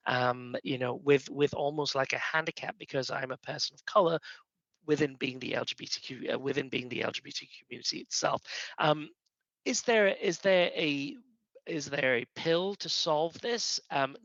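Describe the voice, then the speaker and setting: A man's voice: medium pitch, fairly bright tone, no room sound, highs cut off. A middle-aged man from Malaysia speaking earnestly and emphatically as a panelist, reflecting on personal experience.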